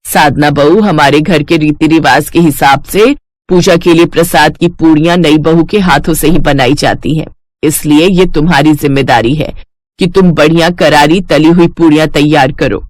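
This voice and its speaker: A woman playing a kind older mother-in-law who speaks gently and gives household instructions. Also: deep voice, fairly even intonation, moderate pace, no echo, lightly acted. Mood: instructing.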